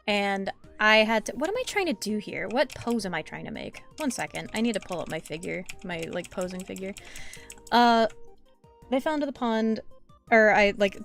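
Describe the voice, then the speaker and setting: Hoarse woman chatting while she draws. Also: on the high side, fairly bright tone. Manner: rambling, many fillers and restarts, swears casually. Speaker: artist streamer. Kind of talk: casual chat while drawing on stream.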